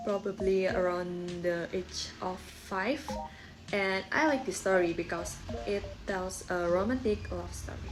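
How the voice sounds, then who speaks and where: A woman's voice: lowish voice, low-quality recording. A young woman giving a scripted storytelling presentation as a student.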